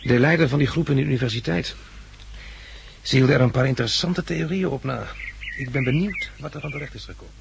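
An actor in a 1960s radio drama playing a cautious, inquisitive male survivor, wry and conversational, with a slightly gravelly voice. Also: medium pitch, fast, lightly acted. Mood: wry, relaxed. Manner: conversational, questioning. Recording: noisy old 1960s radio recording.

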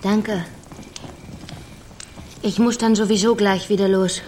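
A woman's voice: mid-range voice, fairly even intonation, slow pace, warm tone, a little hiss or hum, close to an everyday voice. An actress in a radio drama playing a poor, weary young country woman who speaks in plain, curt, colloquial phrases. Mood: modest, polite. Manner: plain, colloquial, short answers.

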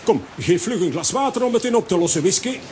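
A man playing a bumbling, self-important comic-strip hero in a children's audio drama, fussy and excitable. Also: sits high, brisk. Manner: fussy, excitable, repeats himself. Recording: noisy audio with rain behind.

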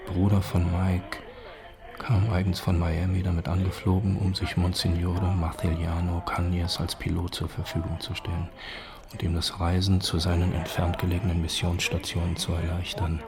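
A sober male narrator for a radio documentary drama. The voice is low and very even, and the scripted delivery is formal and measured. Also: gravelly.

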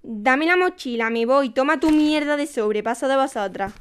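A sulky young daughter in a radio play acted by a woman, with a clearly high voice and an accent from Spain. She is curt, defiant and always on the defensive. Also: moderate pace, close to an everyday voice. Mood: indignant. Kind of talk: acted audio drama.

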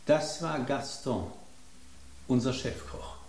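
A man's voice: mid-range voice, slow, darkish tone. An actor playing a courteous, formal man explaining a plot twist in a radio play. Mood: grave. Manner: formal, old-fashioned courtesy. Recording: roomy studio echo.

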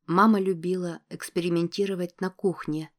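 A guarded teenage girl as a woman reader plays her, careful and emotional. Her audiobook lines come in a fairly low, level voice, as if slowly opening up. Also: steady tone, close to an everyday voice. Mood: guarded, nostalgic. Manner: careful, slow to choose words, emotional. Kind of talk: audiobook character dialogue.

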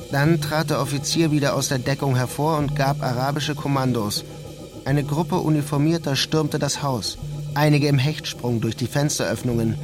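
Male radio play narrator, mid-pitched, measured literary reading with instrumental music underneath.

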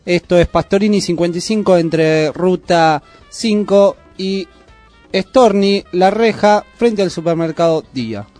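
Laid-back Argentine radio co-host, high voice. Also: male voice, a little slow, a little mellow, faint music behind. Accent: Argentine Spanish. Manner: laid-back, slangy, jokey. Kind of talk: casual community radio chat.

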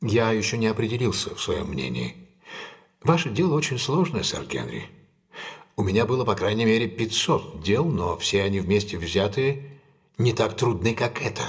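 An actor playing a shrewd, self-possessed gentleman detective in an audio drama, measured and authoritative. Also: lowish voice, big pitch swings. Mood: thoughtful, assured.

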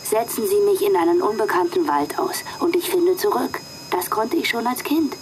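A woman's voice: medium pitch, lively intonation, normal speed, slight background noise, lightly acted. A woman playing an earnest job candidate who thinks aloud in a radio drama.

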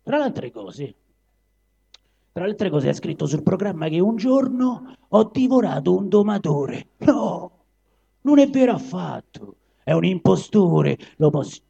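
Man voicing a proud caged lion, gravelly Roman grumbler. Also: sits high, big pitch swings, a little slow, dark tone, pitched above the performer's own voice, full character voice. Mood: bitter, defiant. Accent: Roman Italian dialect. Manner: theatrical, declamatory, grumbling. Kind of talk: dramatic dialect verse recital. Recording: dull lo-fi live recording.

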